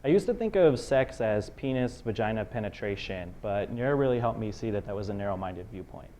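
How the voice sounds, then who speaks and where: A man in his late twenties giving calm, earnest interview answers. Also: on the low side, a little fast, slight background noise. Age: late 20s. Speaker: interviewee, professor.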